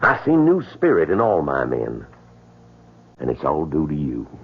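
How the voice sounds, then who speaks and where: An actor playing a hearty, grave Southern general in an old-time radio drama, declaiming with authority. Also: male voice, extremely low pitch, huge pitch swings, measured pace, gravelly, slightly rough, full character voice. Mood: proud conviction. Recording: tinny band-limited old radio recording.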